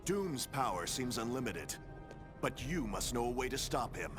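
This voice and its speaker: A man's voice: mid-range voice, very animated, moderate pace, over music, lightly acted. A man playing a serious, practical superhero leader in a video game, earnest and straightforward. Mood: concerned. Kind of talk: video game cutscene acting.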